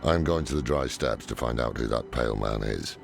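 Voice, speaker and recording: A weary old warrior-scholar from a dark fantasy game. The voice is extremely low and full of gravel, dry and sardonic in its deliberate delivery. Music plays clearly behind it. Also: male voice. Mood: earnest. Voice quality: deep, gravelly. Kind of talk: video game cinematic acting.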